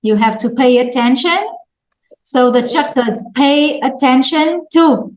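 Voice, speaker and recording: A woman's voice: high-pitched, a little slow, roomy sound, rough recording. A woman English teacher with a Vietnamese accent, patiently prompting students in an online lesson and laughing often.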